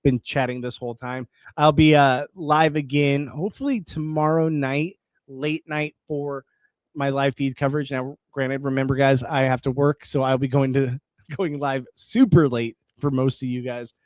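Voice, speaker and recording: Guy hosting a late night stream, voice getting hoarse, rambly, reads chat out loud, mid pitch, dry close mic. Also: fairly even intonation, moderate pace, no room sound. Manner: rambling, reads chat aloud, restarts words. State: voice going hoarse. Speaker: live stream host. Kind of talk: casual live stream chat.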